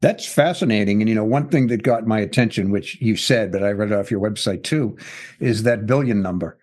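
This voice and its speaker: A man's voice: lowish voice, fairly quick, darkish tone. A man hosting a podcast interview, chatty and self-deprecating, with lots of "you know" fillers. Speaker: podcast host. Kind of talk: casual interview chat.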